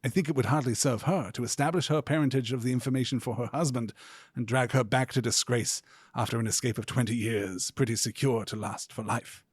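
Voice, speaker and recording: A man voicing a stern Victorian lawyer from a novel, speaking in a measured, formal, rhetorical and wry way. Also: medium pitch, breathy edge, no room sound, studio quality, close to an everyday voice. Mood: measured, probing. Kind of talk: audiobook-style literary reading.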